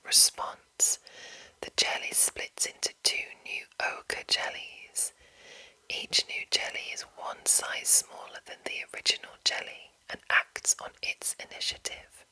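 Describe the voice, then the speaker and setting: Asmr lady whispering breathy n super crisp sibilant, reading out slowly in short careful phrases. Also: bright, forceful tone, poor audio. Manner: slow, deliberate, short phrases with pauses. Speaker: ASMR host reading a monster manual.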